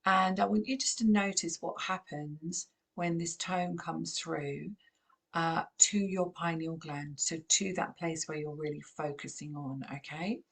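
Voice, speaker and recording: A woman with a croaky voice, gently guiding a channeled meditation in a calm, unhurried way. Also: deep voice. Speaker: spiritual channeler and host.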